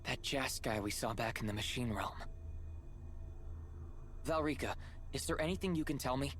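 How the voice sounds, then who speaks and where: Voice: mid-range voice, moderate pace, a bit bright, close to an everyday voice. An actor voicing a brash, confident young male hero in a video game, speaking casually. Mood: determined.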